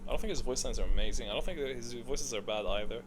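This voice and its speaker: A man giving casual, unscripted commentary on a video game, opinionated and thinking out loud as he ranks things. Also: medium pitch, fast talker, noisy background.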